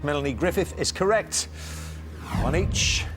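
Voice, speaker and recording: A man's voice: fairly high pitch, lively intonation, a little slow, a bit bright, soft background music. A man hosting a television quiz show, upbeat and teasing, reading questions and introducing teams.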